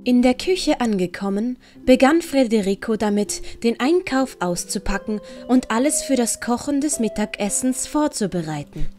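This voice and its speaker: A woman with a Swiss German accent narrating a storybook in a gentle, measured way. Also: on the high side, lively intonation, music in the background. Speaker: narrator and author.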